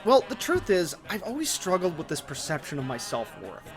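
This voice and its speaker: Male video essayist, slightly high voice, casual and conversational, scripted narration with music behind. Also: huge pitch swings, fairly quick, poor audio. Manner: casual, conversational, with colloquial asides.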